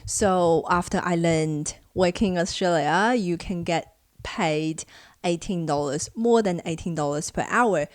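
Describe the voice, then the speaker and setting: A young woman vlogger from China, speaking English as her second language, talks through her own experiences in a relaxed storytelling way. Her voice sits a little low, and the sound is close and dry with no room around it. She perks up and turns lively whenever she replays old conversations. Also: a little slow, no echo. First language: Chinese. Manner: animated when acting out past conversations. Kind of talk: casual storytelling to camera.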